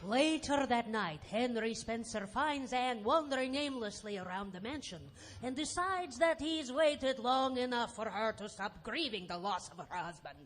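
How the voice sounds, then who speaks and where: A woman narrates a comic stage radio play. Her voice is high, and she tells the tale in a deliberate, scripted storyteller's manner. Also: animated. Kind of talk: comic scripted narration, live audio theatre. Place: stage, live audio theatre performance.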